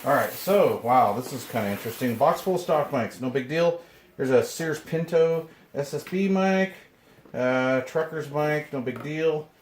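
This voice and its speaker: A man's voice: a little high, expressive, measured pace. A man giving casual, rambling, laid-back unboxing commentary as a hobbyist host, muttering to himself as he goes. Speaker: video host, hobbyist radio collector. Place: indoor room, handling items from boxes. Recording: echoey room with clear noise and clicks.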